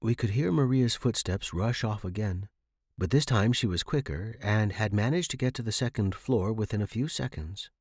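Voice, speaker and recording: A man's voice: lowish voice, moderate pace, darkish tone, breathy edge, no room sound, clean studio sound. A man reading scripted horror fiction as the narrator.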